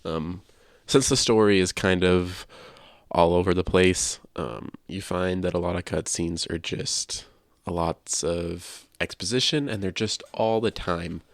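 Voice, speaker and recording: Male podcast co-host, lower-pitched with vocal fry, measured casual critique, dry close recording. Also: no room sound. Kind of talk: casual video game discussion.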